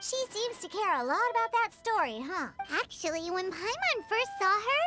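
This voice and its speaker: Tiny fairy sidekick, extremely high squeaky childlike voice, animated, excitable, anime game, prominent background music. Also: female voice, normal speed. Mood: curious, chatty. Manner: refers to self by name in third person. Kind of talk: anime video game voice acting.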